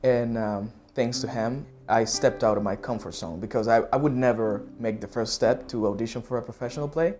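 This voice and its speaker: Halting young man. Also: medium pitch, a little mellow, faint music behind. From Dominican Republic. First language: Spanish. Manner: halting, searching for words. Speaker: theater student. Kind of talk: interview.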